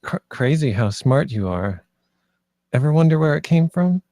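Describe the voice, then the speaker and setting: A man's voice: on the high side, big pitch swings, moderate pace, dark tone, no room sound, studio quality. A man reading the part of a wary, protective young leader at a screenplay table read, in a questioning, conversational way. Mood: curious, hesitant.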